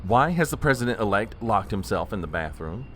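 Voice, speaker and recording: A man's voice: lowish voice, big pitch swings, a little fast, a bit bright, a little hiss or hum. A man playing a flustered, proper chief of staff in a comedy audio drama, formal and prone to nervous stammering. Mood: exasperated.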